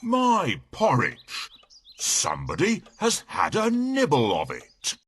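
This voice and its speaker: A man voicing a big, stern father bear with a husky voice in a storybook. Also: fairly high pitch, huge pitch swings, takes it slowly, fairly bright tone, heavily acted. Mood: grumbling.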